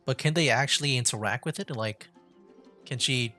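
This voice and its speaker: A woman's voice: extremely low pitch, normal speed, a bit bright, soft background music. A woman giving casual live gameplay commentary as a streamer, hesitant and thinking aloud. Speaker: VTuber game streamer.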